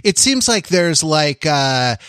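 Male American podcast co-host, higher-pitched, playful and meandering, very dry sound. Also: sing-song, a bit bright, clean tone, dry recording. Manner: rambling, playful, halting delivery. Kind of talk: casual comedic banter.

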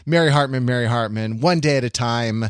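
A man speaks as a podcast host in a casual panel chat. His mid-pitched voice sits in a very dry recording, and he feels his way haltingly through phrases, with some playful grandiosity. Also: clean tone, no room sound. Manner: halting, searching for words.

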